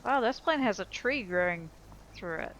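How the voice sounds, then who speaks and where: A woman's voice: fairly high pitch, expressive, normal speed, a bit bright, a little hiss or hum. A woman narrating her own gameplay in a casual, upbeat way, thinking aloud as she goes. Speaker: streamer playing and narrating a video game.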